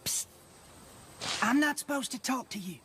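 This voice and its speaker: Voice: high-pitched, lively intonation, normal speed. An actor voicing a shy, secretive little country colt in a cartoon. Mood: hushed secretive.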